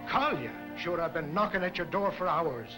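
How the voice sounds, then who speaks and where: High-pitched blustering old ex-cop played by an actor. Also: male voice, lively intonation, normal speed, natural voice. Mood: indignant, blustering. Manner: blustery, exclaiming. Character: blustering old Irish ex-cop bodyguard, indignant. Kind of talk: 1940s film serial comic relief. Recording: old film soundtrack with music behind.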